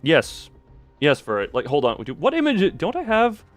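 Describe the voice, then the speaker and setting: A man's voice: fairly high pitch, wildly swooping pitch, measured pace, faint music behind. A man hosting a livestream, rambling casually and jokingly as he goes off on tangents and talks back to chat. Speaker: livestream host, streamer.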